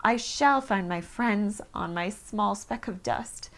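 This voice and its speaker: A woman's voice: mid-range voice, slow pace. A woman voicing a kind, loyal male elephant, speaking earnestly and pleadingly.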